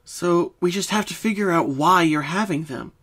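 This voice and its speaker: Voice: medium pitch, normal speed, fairly bright tone, husky, pitched above the performer's own voice. A performer voicing a caring, studious young female unicorn, speaking in an earnest, reassuring and inquisitive way in a story reading.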